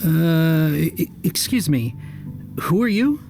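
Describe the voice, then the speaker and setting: Earnest, higher-voiced worried townsman. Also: lively intonation, slow pace, vocal fry, darkish tone, faint music behind, exaggerated voice. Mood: flustered. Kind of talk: anime video game voice acting.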